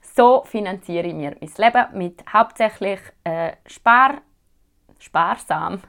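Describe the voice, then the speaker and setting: A young woman with a Swiss German accent talks to her viewers in a relaxed, chatty way. She answers their questions with plenty of fillers and small restarts. Her voice sits in a middle pitch. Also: creaky. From Switzerland. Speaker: vlogger.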